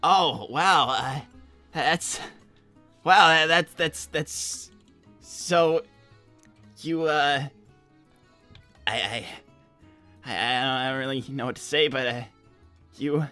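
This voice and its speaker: A man's voice: on the high side, bright, forceful tone, soft background music. A man voicing an insecure, earnest young hedgehog who rambles, stammers and repeats himself in improvised livestream roleplay. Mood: hesitant, stunned. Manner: halting, stammering, repeats words.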